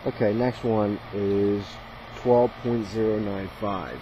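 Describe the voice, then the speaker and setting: Slow-talking man over clear background noise. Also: on the low side, fairly even intonation, lo-fi sound. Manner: halting, unpolished, informal. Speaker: shortwave radio hobbyist and video host. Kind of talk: casual narration of radio tuning.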